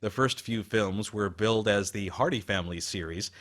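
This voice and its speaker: A man's voice: lowish voice, moderate pace, a bit bright. A man hosting a radio program with scripted documentary narration.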